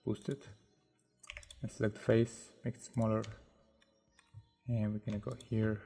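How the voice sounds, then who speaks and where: Man, low-key tutorial host thinking aloud; husky, mellow voice, soft music behind. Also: flat delivery, measured pace. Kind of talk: casual screen-recorded modeling tutorial. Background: soft background music.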